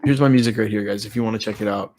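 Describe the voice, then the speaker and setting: A man's voice: on the low side, fairly even intonation, racing, darkish tone, slight background noise. A man in his thirties with a stuffy, congested voice from a cold, hosting a casual live stream and answering viewer comments. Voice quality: nasal, stuffy. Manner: loose and chatty, reads viewer comments aloud and answers them. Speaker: YouTuber hosting a live watch party.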